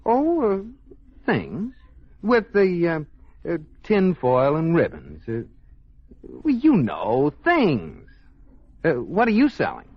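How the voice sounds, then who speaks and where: An actor playing a self-important, easily flustered husband with an American accent in an old radio sitcom. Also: male voice, fairly high pitch, wildly swooping pitch, slow, long pauses, a little hiss or hum, exaggerated voice. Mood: nervous, evasive. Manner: pompous, stammering when cornered. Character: self-important banker husband, nervously evasive, protesting too much. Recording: muffled old radio recording, studio audience.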